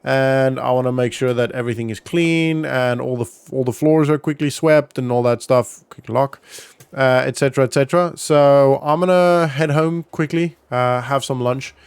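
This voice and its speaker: A man's voice: mid-range voice, normal speed, smooth, no echo. A man narrating a roleplay gaming vlog in a casual, rambling way, as if thinking aloud. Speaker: gaming vlogger.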